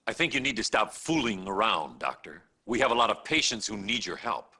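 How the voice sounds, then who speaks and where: An actor playing a stern, condescending senior doctor in a video game cutscene, admonishing the player with dry authority. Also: male voice, on the low side, very animated, brisk, sharp tone, breathy edge, exaggerated voice. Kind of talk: acted game cutscene dialogue addressed to the player.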